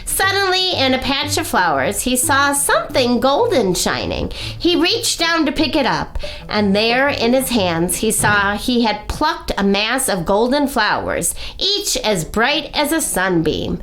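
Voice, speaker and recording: Woman doing kids story time, takes it real slow, voice swoops up and down dramatically. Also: on the high side. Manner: animated, unhurried, dramatic emphasis. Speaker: library story-time reader. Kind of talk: expressive children's storybook narration.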